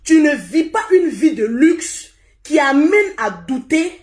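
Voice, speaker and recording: A woman hosting a casual live stream, hoarse and husky from a cold, repeating herself as she urges viewers to share. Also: high-pitched, very animated, slow. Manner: repetitive, urging viewers to share. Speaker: livestream host.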